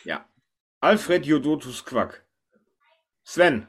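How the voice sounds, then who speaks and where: A man's voice: on the high side, big pitch swings, very slow, fairly bright tone, breathy edge. Man hosting a casual podcast chat. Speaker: podcast host. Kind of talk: casual podcast chat about pop culture.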